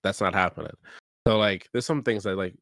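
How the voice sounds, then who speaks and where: A man's voice: sits low, fairly quick, vocal fry, fairly bright tone, no room sound. A man who owns a game studio, speaking casually and candidly, openly admitting his mistakes. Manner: candid, self-deprecating. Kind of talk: casual chat.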